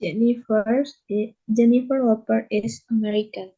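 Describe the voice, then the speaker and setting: A woman student reading English sentences aloud slowly and hesitantly in an online class. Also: a little high, fairly even intonation, dark tone, breathy edge. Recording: dull lo-fi call audio from a distance.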